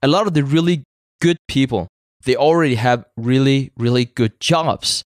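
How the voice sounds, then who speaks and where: A man's voice: medium pitch, normal speed, dry recording, studio quality. A man from Denmark with a Danish accent, speaking English as a second language as a podcast guest, in a conversational way with many fillers and repeated words. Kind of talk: interview conversation.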